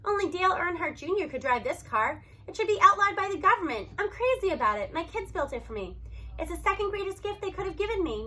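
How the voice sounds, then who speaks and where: A woman narrates a story aloud in the first person. Her voice sits high and has a bright, forward edge. She reads expressively, stressing key words in an animated storytelling manner.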